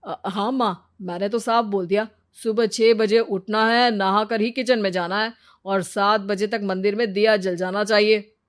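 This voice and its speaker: A woman acts out a nagging cartoon husband. He is fussy and bossy, scolding and laying down rules in a mid-pitched voice. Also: fairly even intonation, a little slow, lightly acted. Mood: dutiful.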